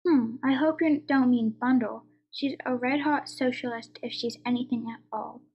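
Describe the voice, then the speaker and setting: Radio mystery: actress as high-voiced older noblewoman, haughty and blunt, on a slightly dull lo-fi recording. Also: fairly even intonation, normal speed, slightly rough. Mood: blunt, amused. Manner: haughty, dry, brusque. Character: haughty, impatient older aristocratic lady, drily blunt. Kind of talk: radio play mystery acting.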